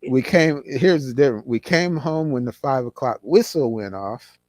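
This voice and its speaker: A man's voice: medium pitch, normal speed, darkish tone, dry recording. A man in his sixties, from California, reminiscing as an interview guest in a rambling way, with many restarts. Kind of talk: casual conversation.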